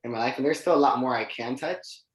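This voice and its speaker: A man's voice: mid-range voice, quick pace, background noise. A nineteen-year-old man from the United States, talking informally and conversationally as a podcast guest. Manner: informal, conversational, heavy use of "like". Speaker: podcast guest, dancer and artist. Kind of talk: casual interview chat. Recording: echoey, roomy sound.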